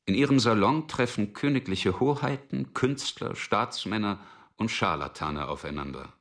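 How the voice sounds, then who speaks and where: A man narrating a radio drama from a script in a measured, sober manner. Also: fairly low pitch, animated, a bit bright.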